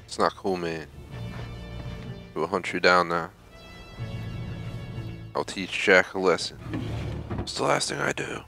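A man's voice: low voice, animated, takes it slowly, gravelly, long pauses. A young man giving casual commentary while playing a video game. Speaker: gamer doing a playthrough. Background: game sound and music.

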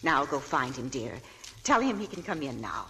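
Brief: gracious hesitant matriarch, deep and animated, 1940s radio play. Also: female voice, moderate pace, exaggerated voice, rough recording. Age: older. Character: anxious genteel old mother of an old family. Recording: old radio broadcast with faint noise.

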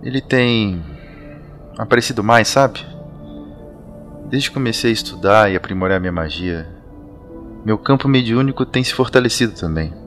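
An actor playing an earnest young man who trains in magic, speaking gently and thoughtfully in an audio drama. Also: fairly low pitch, moderate pace, pauses often, faint music behind, natural voice.

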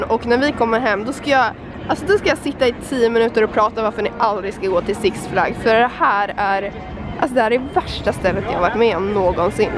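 A woman's voice: fairly high pitch, echoey room. A young woman vlogging into a handheld camera, complaining with many fillers. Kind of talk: casual travel vlog, then sit-down rant to camera. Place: outdoor theme park and parking lot, then a tiled bathroom. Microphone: handheld camera at arm's length.

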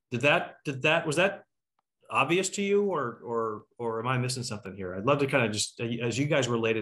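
A man's voice: mid-range voice, a bit bright. A middle-aged man hosting a conversational talkback over a video call, searching for words as he goes. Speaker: talkback host. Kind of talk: conversational panel moderating. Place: room at home, indoors.

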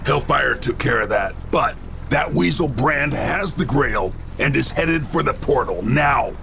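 A cocky game soldier with a gravelly, fry-edged voice. He drops sardonic one-liners dryly, yet his pitch moves around. Music plays behind him. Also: lively intonation, unhurried. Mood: wry, calm. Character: cocky wisecracking male action hero soldier. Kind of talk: video game cutscene acting.

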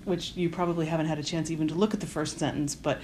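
A woman interviewing casually as a fellow writer, talking in a halting way with soft asides. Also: low voice, fairly even intonation, rough recording. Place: hotel. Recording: background room noise.

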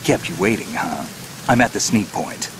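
A gruff American veteran soldier from a stealth video game. His voice is deep and gravelly, his delivery clipped and dryly sardonic. Clear rain-like noise sits behind him. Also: expressive, normal speed. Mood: terse. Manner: terse, dry, clipped. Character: gruff, stoic veteran male infiltration soldier, sardonic loner. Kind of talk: video game cutscene acting, radio dialogue.

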